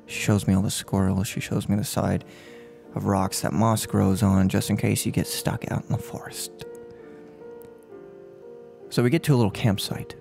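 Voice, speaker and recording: A man in his twenties playing a soft-spoken, unhinged serial killer in a menacing, mock-polite ASMR role play, close to the microphone. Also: lowish voice, sing-song, normal speed, gravelly, pauses often, faint music behind, full character voice. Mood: brooding, measured. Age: 20s. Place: room at home. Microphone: close desk microphone on boom arm.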